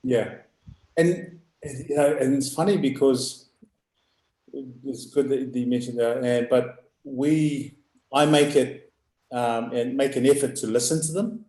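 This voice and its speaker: A middle-aged man with a loud, booming voice, a youth rugby coach talking in halting bursts with frequent laughs. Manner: halting, restarts, laughs often. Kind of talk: live video call chat. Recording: echoey room.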